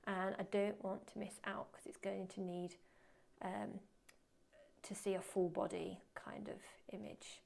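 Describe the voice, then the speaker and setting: A woman teaching photography in a live webinar, chatty and self-deprecating, muttering quietly to herself as she works at her computer. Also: mid-range voice, little pitch movement, a little slow, vocal fry. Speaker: photography instructor. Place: indoors at a computer.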